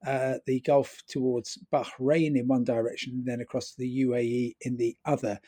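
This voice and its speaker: A man's voice: medium pitch, little pitch movement, normal speed, warm tone. A man from London giving a wry, self-deprecating travel report, with frequent ums. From London, England.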